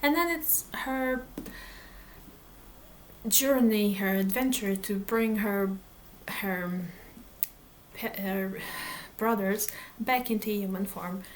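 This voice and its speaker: A woman's voice: medium pitch, slow pace, slight background noise, rough recording. A woman whose first language is Portuguese, chatting casually to camera about her reading plans, hesitant, with many fillers and restarts. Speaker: book vlogger.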